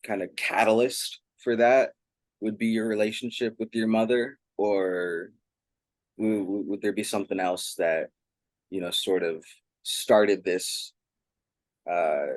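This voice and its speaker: A man's voice: low voice, fairly even intonation, unhurried, no room sound. A man conducting a thoughtful interview, feeling out his questions carefully and with some hesitation.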